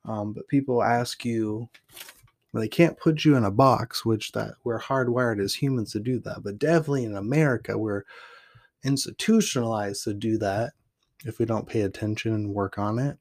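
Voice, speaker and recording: A man with a fairly low voice talks casually about where he comes from. He drifts along reflectively, full of "like" and false starts. When he reads his poem, his delivery turns into punchy, building lists. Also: normal speed, darkish tone. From Portland, Oregon. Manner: loose and reflective, with many fillers and restarts. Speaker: podcast host and poet. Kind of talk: casual talk and poetry reading.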